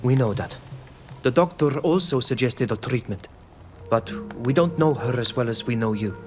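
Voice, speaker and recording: A man's voice: medium pitch, expressive, normal speed, lightly acted. Man playing an earnest young islander, music behind. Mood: serious. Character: earnest young male islander villager, resolute and curious. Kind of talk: video game dialogue acting.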